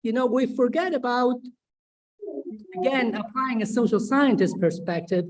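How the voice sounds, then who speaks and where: A woman from Sweden giving spontaneous academic remarks, deliberate and emphatic, repeating phrases for stress. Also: on the high side, poor audio. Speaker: symposium host, social scientist. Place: online video symposium.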